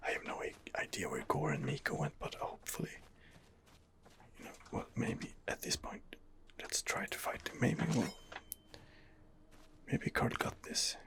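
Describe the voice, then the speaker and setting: A man hosting an ASMR game playthrough, muttering hesitant commentary in a breathy whisper. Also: rumbling low, very animated, measured pace. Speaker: gaming video host.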